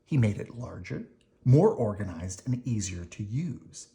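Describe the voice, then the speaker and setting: Storybook reader, slow careful pace, very animated intonation, expressive emphasis, explaining for children. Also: mid-range voice. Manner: expressive, deliberate reading with dramatic emphasis. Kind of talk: reading a children's picture book aloud.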